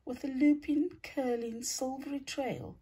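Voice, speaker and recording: A woman reading a rhyming children's picture book aloud in a theatrical, deliberate way, stretching words and hushing the ends of lines. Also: sits high, fairly even intonation. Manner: theatrical, deliberate storytelling with drawn-out words and breathy hushed line endings. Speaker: storybook reader, teacher.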